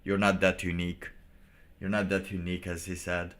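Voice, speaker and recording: A man giving off-the-cuff commentary as a streamer, philosophizing in a halting, rambling and blunt way. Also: low-pitched, flat delivery, fairly bright tone. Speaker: live streamer reacting to motivational videos.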